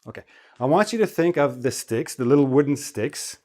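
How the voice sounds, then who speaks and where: A man giving a spoken English lesson in a relaxed, chatty way, often checking in with the listener. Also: mid-range voice, brisk. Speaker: English teacher.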